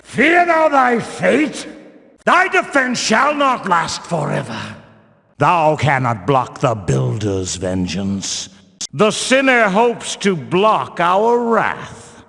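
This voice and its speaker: An actor playing a stern, zealous religious warrior guard in a fantasy video game, speaking in pious, archaic, declamatory phrases. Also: male voice, high-pitched, big pitch swings, takes it slowly, gravelly, bright, forceful tone, heavily acted. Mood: battle fury. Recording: long reverberant hall echo.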